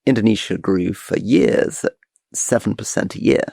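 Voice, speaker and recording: A man's voice: on the low side, normal speed, gravelly, a little mellow, dry recording, clean studio sound. A middle-aged man co-hosting a podcast, explaining eagerly and restarting his sentences as he goes. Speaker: podcast co-host. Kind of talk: casual political chat.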